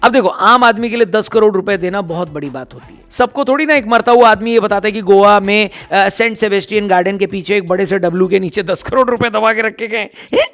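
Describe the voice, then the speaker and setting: A hoarse man giving casual cricket news commentary, chatty and teasing as he talks straight to his viewers. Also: sits high, a little fast, few pauses, a bit bright.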